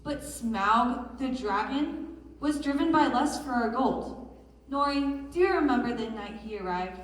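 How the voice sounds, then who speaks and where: A woman as an earnest, high-voiced exiled dwarf. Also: lively intonation, unhurried. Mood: grave. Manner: earnest, storytelling. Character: grieving dwarf miner recalling lost home. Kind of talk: readers theatre stage performance. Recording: distant mic in echoey hall.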